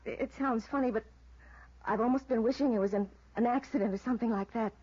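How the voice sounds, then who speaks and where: Husky, hesitant, anxious American wife. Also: female voice, fairly high pitch, mellow. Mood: worried, halting. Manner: hesitant, halting, subdued. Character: anxious, guarded wife of a missing man. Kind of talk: 1950s radio drama acting. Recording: muffled vintage radio recording.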